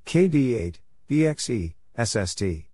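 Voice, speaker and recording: A man reading out radio call signs and short exchanges letter by letter in clipped, measured phrases, as a scripted announcer. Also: medium pitch, big pitch swings, mellow, breathy edge, no echo, clean studio sound. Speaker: Morse code practice announcer.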